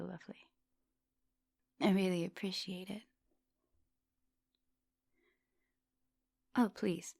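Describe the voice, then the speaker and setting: A woman playing a centuries-old vampire English professor in an intimate ASMR roleplay, soft and dominant, doting and teasing, sometimes slipping into breathy whispers. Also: on the low side, no echo. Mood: flirtatious, intimate.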